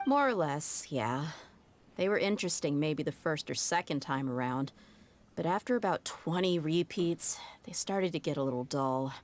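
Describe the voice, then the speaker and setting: A woman's voice: low-pitched, lively intonation, normal speed, slight background noise. A woman voicing a tough young female mercenary in a game, talking in a frank, wry, matter-of-fact way. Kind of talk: video game cutscene dialogue.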